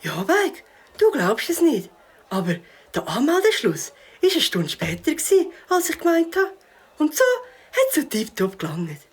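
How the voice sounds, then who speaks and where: A woman plays an excitable, modest young pal in a children's audio story. The voice is high and husky with lively swings in pitch and a Swiss accent. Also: measured pace, exaggerated voice. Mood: bashful. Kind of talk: children's audio drama acting.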